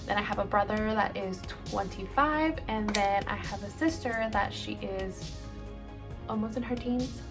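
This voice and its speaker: A woman's voice: mid-range voice, a little slow. Chatty woman rambling over background music. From El Salvador. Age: late 20s. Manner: chatty and rambling, full of ums and likes. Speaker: beauty vlogger. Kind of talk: casual get-ready-with-me Q&A chat.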